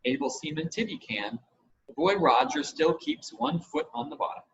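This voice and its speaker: A man's voice: a little high, animated, normal speed, close to an everyday voice. A man voicing a smart, practical girl, a businesslike first mate in a storybook. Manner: crisp, businesslike. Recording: muffled home recording with hiss.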